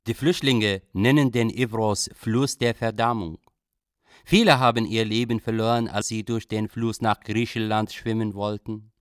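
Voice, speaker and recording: An actor playing a young Iraqi refugee poet, narrating his flight in a measured, reflective, literary way for a radio drama. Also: fairly low pitch, fairly even intonation, smooth, no echo, close to an everyday voice.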